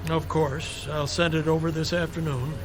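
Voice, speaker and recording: An actor playing a courteous, composed doctor in a radio drama, speaking in a polite, measured way. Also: male voice, on the high side, level tone, lightly acted. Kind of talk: naturalistic radio drama acting. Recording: clear music under the voice.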